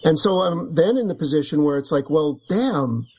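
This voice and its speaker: A man's voice: a little high, expressive, moderate pace, darkish tone, husky. A man, an author, chatting casually about his book, wandering off on tangents and laughing as he talks. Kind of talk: casual book club chat. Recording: dull lo-fi recording.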